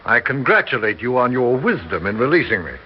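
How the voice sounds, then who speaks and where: A man's voice: medium pitch, very animated, brisk, close to an everyday voice. An actor in his sixties playing a proud, theatrical aging stage actor with grand, elaborate diction in a vintage radio drama. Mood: haughty. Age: 60s. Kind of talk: 1960s radio drama acting. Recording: dull lo-fi vintage radio with faint hiss.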